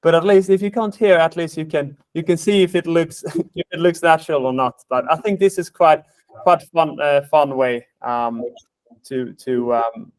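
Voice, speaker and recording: A man with a Swedish accent hosts a webinar on a video call. His voice sits fairly high and sounds dry and close, and he talks in a friendly, hesitant way with plenty of fillers. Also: moderate pace, a little mellow, no room sound. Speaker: webinar host. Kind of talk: casual webinar chat.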